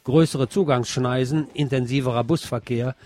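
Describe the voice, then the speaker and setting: A man's voice: medium pitch, fairly even intonation, normal speed, a little mellow. A man presenting scripted radio news commentary, with an opinionated manner and wry asides. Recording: music bed behind the voice. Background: music.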